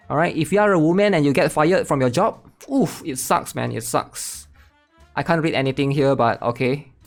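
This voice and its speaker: A man hosting a gaming channel, casually commenting while reading online posts aloud and often tagging sentences with "right?". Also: on the high side. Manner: chatty, tags sentences with "right?".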